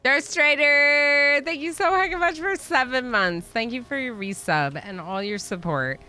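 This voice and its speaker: Bright-voiced woman game streamer, chatting casually. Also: high voice, measured pace, slight background noise. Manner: chatty, reads game dialogue aloud. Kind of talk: casual live-stream chat while playing a role-playing game.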